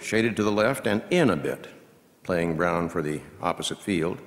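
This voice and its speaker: A man playing a seasoned, folksy baseball radio announcer, giving play-by-play with measured asides that break into punchy, drawn-out calls. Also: sits low. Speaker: reader performing a scripted baseball commentary. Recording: long hall reverb from live venue.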